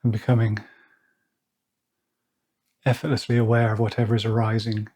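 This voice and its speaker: Soft-spoken man guiding a slow meditation. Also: on the low side, little pitch movement. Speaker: meditation guide. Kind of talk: guided meditation. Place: outdoors or by an open window with birds nearby.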